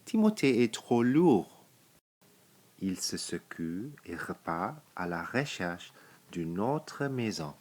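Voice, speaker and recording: A man reading a children's picture book aloud, in deliberate, simple phrases with pauses. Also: on the low side, very animated, a little mellow. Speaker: storybook reader.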